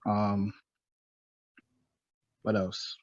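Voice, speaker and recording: A man speaks as an interview guest in a fairly low voice. He talks in a soft, halting way and often laughs between thoughts. The recording is dry and sounds dull. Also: completely flat delivery, no room sound. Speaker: interview guest, clothing designer. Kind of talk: casual interview answers.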